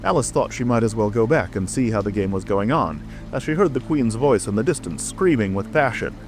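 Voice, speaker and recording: A man's voice: on the low side, expressive, fairly quick, a little mellow. A man narrating a storybook as a dramatic, scripted audiobook reading. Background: music.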